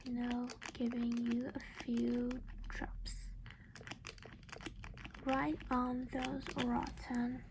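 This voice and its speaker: A woman whispers softly in a breathy, crackly voice with very little pitch movement. She plays a caring vampire mother in an ASMR roleplay, reassuring and halting as she goes. The recording has clear background noise and small clicks. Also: little pitch movement. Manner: gentle, reassuring, slow and halting. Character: caring vampire mother doing a dental checkup.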